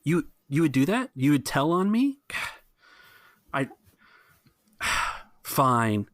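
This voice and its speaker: A man acting as game master, narrating a tabletop game in a conversational, halting way. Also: on the high side, very animated, a bit bright, no echo, clean studio sound.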